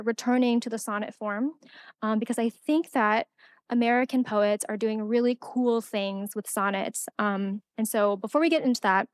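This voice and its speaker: A woman's voice: a little high, no echo, clean studio sound. A young woman poet reading her poem aloud and then giving a casual talk with many fillers at a college event.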